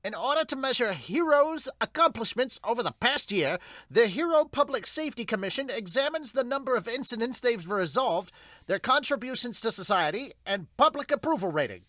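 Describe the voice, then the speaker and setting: A man's voice: very high voice, sing-song, brisk, fairly bright tone, dry recording, voice pushed up, exaggerated voice. A man voicing a grand, emphatic announcer of hero rankings in an amateur manga dub.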